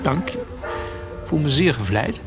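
An actor playing a vain, flirtatious bar-band singer in a radio play, showy and drawling, with a taste for foreign phrases. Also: male voice, a little high, very animated, normal speed. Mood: flattered, seductive. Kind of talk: Dutch radio drama acting. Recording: radio play with music behind.

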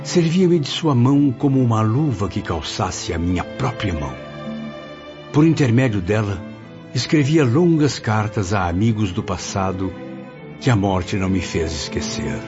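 A man's voice: lowish voice, animated, unhurried. A man playing the melancholy, proud spirit of a dead writer in a radio soap, with theatrical, literary lamenting. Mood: solemn, earnest. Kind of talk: dramatic radio soap acting. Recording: muffled radio drama with faint music.

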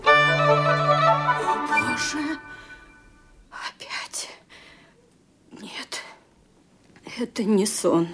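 An actress playing a frail, imperious old lady in an audio drama, musing with dramatic pauses. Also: sits high, huge pitch swings, music in the background, full character voice. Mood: awed, hushed. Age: older. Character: frail, imperious elderly lady, anxious yet resolute. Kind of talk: Russian audio drama acting.

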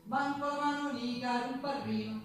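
An actress playing an impassioned, sorrowful and defiant Sicilian folk singer in a theatrical monologue, with a Sicilian accent. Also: female voice, high voice, steady tone, moderate pace, close to an everyday voice. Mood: sorrowful, intense. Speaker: stage actress and singer in a one-woman show. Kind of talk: theatrical monologue with folk singing, live on stage. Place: theatre stage with live guitar accompaniment. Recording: very echoey hall, distant mic, guitar behind.

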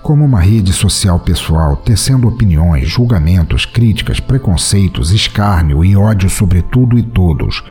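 Male podcast narrator, low and mellow, brooding, expressive reading. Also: normal speed, hardly any pauses, faint music behind. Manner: brooding, bitter, intimate. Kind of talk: dramatic first-person story narration.

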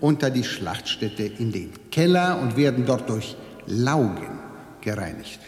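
A man's voice: mid-range voice, big pitch swings, measured pace. A man acting in a radio drama as an evasive, officious slaughterhouse manager who guides a tour with pedantic precision and veiled menace. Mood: clinical, matter-of-fact. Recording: echoey hall with long reverb.

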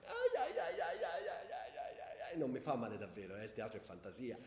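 A man's voice: medium pitch, wildly swooping pitch, a little fast. A man playing a hapless, childishly eager amateur actor in a radio stage comedy, exclaiming and repeating himself clownishly. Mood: hammy, clowning. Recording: very echoey hall with long reverb, faint noise.

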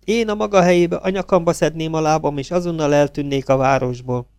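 A man voicing a sharp-tongued young woman secretary, scornful and mocking, in a crime story reading. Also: on the high side, steady tone, clean tone, no echo, studio quality, pitched above the performer's own voice, close to an everyday voice.

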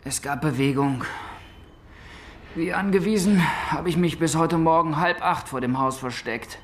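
A man's voice: a little high, unhurried, a bit bright, slight background noise. An actor playing a dutiful policeman giving a plain, slightly colloquial surveillance report in a German radio crime drama. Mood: matter-of-fact.

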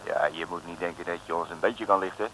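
Low-voiced, menacing crook. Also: male voice, fast, a bit bright. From Netherlands. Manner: threatening, colloquial. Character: menacing, impatient male crook with street slang. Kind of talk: comic radio serial acting, around 1960. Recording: faintly noisy old radio recording.

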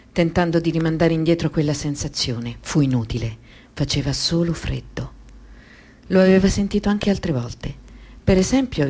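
An actress playing a grave narrator, reading a dark literary story in a radio drama. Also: female voice, sits low, a little hiss or hum, natural voice.

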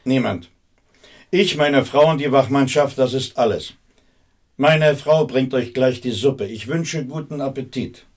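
A stern castle steward, played by a man, curt and formal, with a voice of middle pitch. He speaks from a slight distance in a historical radio play. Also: measured pace, natural voice.

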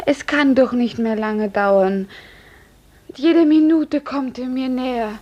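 A woman's voice: high voice, takes it slowly, lo-fi sound. An actress playing an anxious young woman waiting for her lover in a 1950s radio play. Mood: worried hope.

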